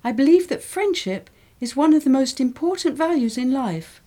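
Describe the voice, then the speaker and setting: Mellow-voiced woman reading lesson texts. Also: high-pitched, expressive, moderate pace. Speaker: reader of English learning texts. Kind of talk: scripted reading of model texts.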